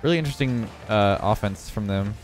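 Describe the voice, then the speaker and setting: A young man with a congested voice giving relaxed running commentary on his own video game match. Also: fairly low pitch, fairly quick, faint music behind. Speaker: gaming video creator. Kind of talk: live gameplay commentary.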